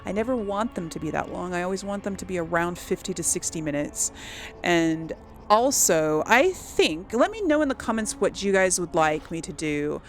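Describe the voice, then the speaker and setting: Casting: female let's play host, casual and chatty, noticeable vocal fry, mid pitch, faint game ambience behind. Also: lively intonation, moderate pace, slight background noise. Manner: chatty, musing aloud. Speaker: gaming video host.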